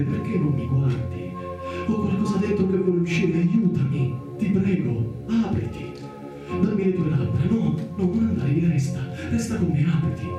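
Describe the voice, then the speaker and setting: A man's voice: mid-range voice, natural voice. A man playing an obsessive, needy figure, insistent and repetitive, in a theatrical radio monologue. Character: obsessive, needy man pleading and demanding intimacy, turning menacing. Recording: very echoey, distant, with music behind.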